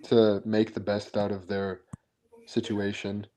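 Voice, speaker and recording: A man's voice: lowish voice, completely flat delivery, slow, mellow, rough recording. A man chatting casually on a live stream, blunt and opinionated, often restarting his sentences. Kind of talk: casual chat.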